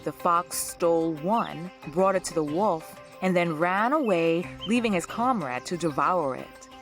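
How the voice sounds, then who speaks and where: A woman's voice: lowish voice, measured pace, soft background music. A woman hosting a storytelling podcast, reading scripted narration in a true-crime style.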